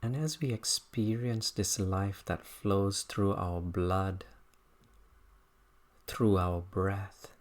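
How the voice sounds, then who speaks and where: Low voice meditation teacher doing a body scan, super slow n thoughtful, lots of halting phrases. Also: fairly even intonation. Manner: unhurried, contemplative, halting phrases.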